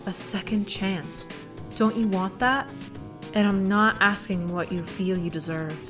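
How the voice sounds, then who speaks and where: A woman's voice: mid-range voice, fairly even intonation, measured pace, music in the background, close to an everyday voice. A woman playing a firm, motherly character who pleads earnestly and emphatically in a fan comic dub. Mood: gentle, earnest.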